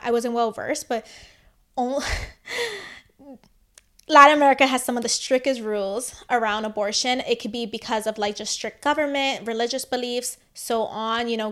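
Chatty woman podcast co-host. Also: fairly high pitch. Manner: chatty, lots of "like", animated opinions. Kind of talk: casual chat.

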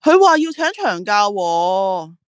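A woman's voice: medium pitch, very animated, slow, clear, resonant tone, no echo, clean studio sound. A woman playing a driven, proud and reflective female ad agency boss in a radio drama, with a Hong Kong Cantonese accent. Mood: businesslike. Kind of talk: radio drama dialogue and monologue.

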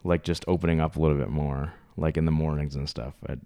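Man, extremely low voice, rambling, casual podcast talk. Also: steady tone, quick pace, dark tone. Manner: rambling, trailing off, many fillers. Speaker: podcast co-host.

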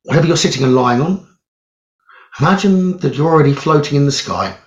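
A man leading a guided meditation in a soothing, measured way, leaving long pauses between phrases. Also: fairly high pitch. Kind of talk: slow guided meditation narration. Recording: roomy echo, dull lo-fi sound.

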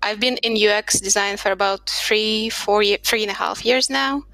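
A woman from Russia speaks as a podcast guest in a reflective, chatty way. Her voice is mid-pitched with a gravelly edge. She records from a home desk surrounded by books, and the sound is dry and close. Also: normal speed, sharp tone, dry recording, rough recording. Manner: reflective, chatty, light laughs. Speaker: podcast guest, product designer. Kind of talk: casual interview chat.

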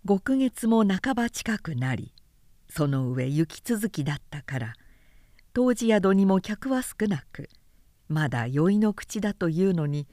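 Slow, measured woman narrator, dry recording. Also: medium pitch, animated, warm tone, dry recording. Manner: measured, deliberate storytelling. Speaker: narrator reading a story aloud. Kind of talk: scripted literary narration.

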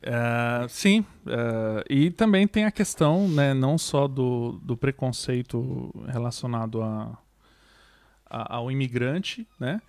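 A man's voice: mid-range voice, slow pace, a little mellow, no room sound. A man co-hosting a podcast, chatting casually in a laid-back, slangy way. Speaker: podcast co-host.

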